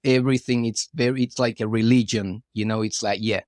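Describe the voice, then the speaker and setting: A man's voice: mid-range voice, steady tone, fairly quick, darkish tone, dry recording, clean studio sound. Argentine man, loose rambling chat. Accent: Argentine Spanish. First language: Spanish. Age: middle-aged. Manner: loose, rambling, restarts phrases, says "you know" often. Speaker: guest artist. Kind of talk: casual interview chat.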